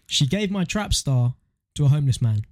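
A man's voice: fairly high pitch, expressive, normal speed, no room sound, clean studio sound. A young man co-hosting a podcast, bantering casually in a slangy, jokey, incredulous way. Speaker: podcast co-host. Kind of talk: casual banter, reacting to submitted stories.

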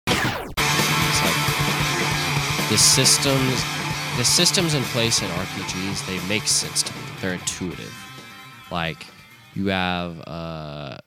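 A man's voice: deep voice, animated, slow, pauses often, over loud music. A young man co-hosting a casual podcast, rambling with lots of restarts and filler words. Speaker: podcast co-host. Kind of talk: casual chat.

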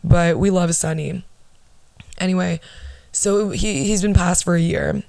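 A young woman hosts her own podcast with a low alto voice, in a casual, rambling way. She swears freely and pokes fun at herself. The sound is dry and close, with no room around it. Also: steady tone, a little slow, vocal fry, mellow, no room sound. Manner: rambling, profane, self-mocking. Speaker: podcast host. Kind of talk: casual storytelling monologue.

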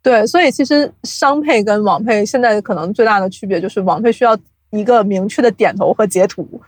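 A woman speaking casually as an interview guest, explaining things in an animated, chuckle-prone way like an industry insider. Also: mid-range voice, fairly quick, no room sound. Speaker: guest, audio drama producer and company CEO.

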